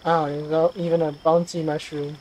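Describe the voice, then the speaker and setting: A woman giving casual, offhand reactions while playing a video game, in short unhurried comments. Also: deep voice, flat delivery, darkish tone, slight background noise, poor audio. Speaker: gaming streamer. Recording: game music behind voice. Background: game music.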